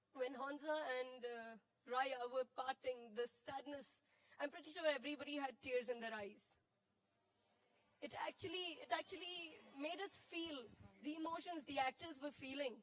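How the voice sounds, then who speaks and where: A woman's voice: sits high, rough recording. A woman with a sore throat giving an earnest, emotional spontaneous comment from the audience. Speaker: audience member giving feedback.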